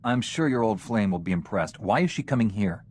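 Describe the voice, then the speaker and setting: Man acting a deep, earnest Jedi knight. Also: lively intonation, brisk, darkish tone, a little hiss or hum, close to an everyday voice. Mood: skeptical. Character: earnest, principled, steady male Jedi knight. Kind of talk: video game voice acting.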